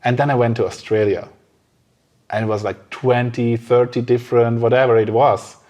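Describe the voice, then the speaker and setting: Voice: mid-range voice, flat delivery, moderate pace, darkish tone, muffled recording. Podcast host chatting casually, hesitant phrasing. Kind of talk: casual conversation.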